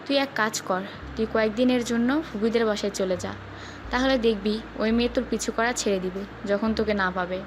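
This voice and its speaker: A woman's voice: a little high, fairly quick, background noise, close to an everyday voice. A woman playing a protective, scheming elder sister in an audio love-story drama, firm and full of advice. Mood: firm, advising. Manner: firm, advising.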